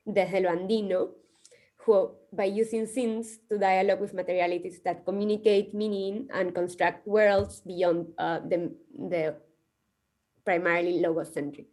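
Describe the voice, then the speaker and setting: A woman's voice: medium pitch, a little mellow. A woman from the coast, giving a thoughtful academic presentation and hedging often. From Peru, coast. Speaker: conference speaker.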